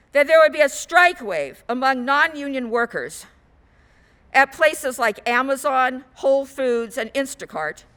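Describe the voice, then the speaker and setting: A woman minister giving a sermon in measured, rhetorical short phrases. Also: high-pitched, fairly bright tone. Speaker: guest minister.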